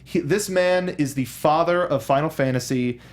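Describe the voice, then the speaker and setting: A man co-hosting a casual film discussion, speaking in a halting, rambling way and often circling back to rephrase with enthusiasm. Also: on the high side. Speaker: co-host of a film discussion show.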